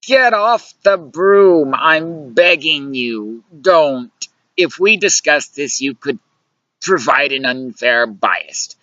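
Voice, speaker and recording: A narrator voicing a weary, sulking, stubborn lover who is emotionally raw and pleads slowly, in an audiobook story. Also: mid-range voice, expressive, sharp tone, dry recording, dull audio. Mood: pleading.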